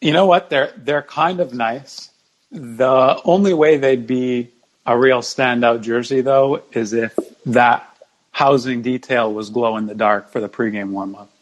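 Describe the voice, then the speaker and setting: A man's voice: medium pitch, fairly even intonation, a little slow, poor audio. Male guest in casual sports chat. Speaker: podcast guest, jersey ranking expert.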